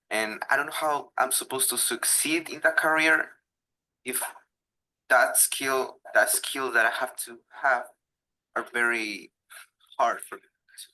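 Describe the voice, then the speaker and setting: A man's voice: medium pitch, pushed and bright, poor audio. A young man giving a plain personal statement as a student. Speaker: student participant.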